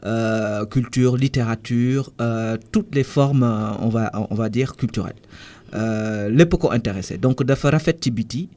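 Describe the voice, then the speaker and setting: A Senegalese man, a musician guest in a radio studio interview, explaining his ideas thoughtfully with frequent pauses and restarts. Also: medium pitch, mellow.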